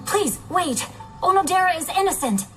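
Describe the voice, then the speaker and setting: A woman's voice: very high-pitched, animated, moderate pace, soft background music. A woman voicing an earnest, formal and easily flustered young male novelist in a fantasy game, with polite bookish phrasing. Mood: alarmed. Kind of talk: animated game voice acting.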